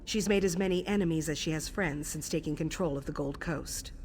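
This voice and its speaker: Low-voiced, composed actress as assassin superior. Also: female voice, a little mellow, soft background music, close to an everyday voice. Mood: dry, informative. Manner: measured, dryly wry, commanding. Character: composed sardonic female assassin guild superior briefing an initiate. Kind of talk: scripted video game quest dialogue.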